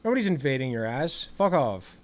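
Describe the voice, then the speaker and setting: A man giving casual, sarcastic reaction commentary over a clip, swearing freely and tossing off quick asides. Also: medium pitch, very animated. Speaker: political commentator reacting to a video.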